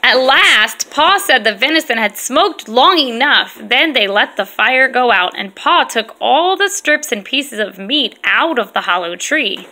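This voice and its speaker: A woman, a mother, reading aloud and chatting with young children in an expressive, encouraging way and simple phrasing. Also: a little high, measured pace, hardly any pauses. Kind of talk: read-aloud storytelling mixed with casual chat with children.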